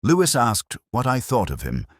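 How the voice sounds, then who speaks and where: A middle-aged man reading a scripted first-person detective story in a measured, reflective, literary way. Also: mid-range voice, wildly swooping pitch, no echo, clean studio sound. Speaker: audiobook-style narrator of a detective story.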